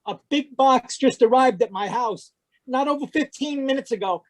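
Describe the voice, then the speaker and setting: A woman's voice: high-pitched, moderate pace, darkish tone. A woman playing an anxious husband protesting his innocence in old-time radio mystery style. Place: live video call at home. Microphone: video call.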